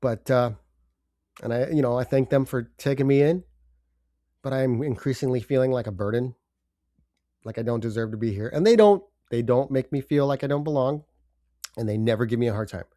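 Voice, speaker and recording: Older male podcast host with a head cold, stuffy and low-key, dark mellow tone, dry close sound. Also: dry recording. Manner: halting, subdued, with pauses and fillers. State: congested from a cold. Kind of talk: personal confessional monologue.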